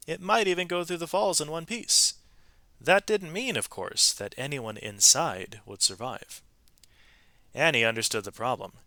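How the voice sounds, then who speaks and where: A man reading a storybook aloud to students in an expressive, emphatic way, with brief explanatory asides to his listeners. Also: on the high side, dry recording. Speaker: read-aloud narrator, teacher reading to students.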